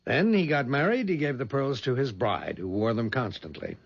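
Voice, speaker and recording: An actor playing a brisk, businesslike head of a detective agency in an American radio drama, with a husky voice and an American accent. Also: male voice, mid-range voice, lively intonation. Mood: matter-of-fact recounting. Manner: businesslike, explanatory. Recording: dull lo-fi 1970s radio recording.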